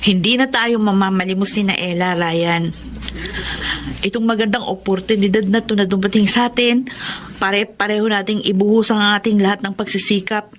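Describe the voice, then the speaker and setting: A woman's voice: medium pitch, fairly even intonation, moderate pace, a little hiss or hum, natural voice. An actress playing an earnest, hopeful young woman of humble life in an emotional radio drama. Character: earnest, grateful young poor woman full of hope. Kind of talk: radio drama acting and emotional first-person narration.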